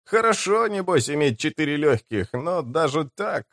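A man voicing a talkative, friendly rogue with an ironic, animated manner in an audiobook. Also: a little high, normal speed, no echo, studio quality, pitched above the performer's own voice. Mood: incredulous.

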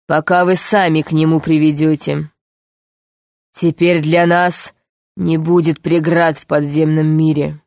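A woman voicing a calm, mockingly sweet male underground tyrant in a storybook, speaking in a slow, deliberate, commanding way. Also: low-pitched, dry recording, clean studio sound. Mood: gloating. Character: calm, menacing male underground ruler, mockingly sweet, power-hungry villain.

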